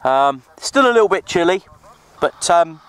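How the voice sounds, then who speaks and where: A man's voice: a little high, expressive, measured pace, fairly bright tone, smooth, rough recording. A man chatting informally in a matey way as he narrates an outdoor CB radio session. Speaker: CB radio operator and vlogger. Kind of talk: casual outdoor vlog and radio chat. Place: outdoors on an open hill.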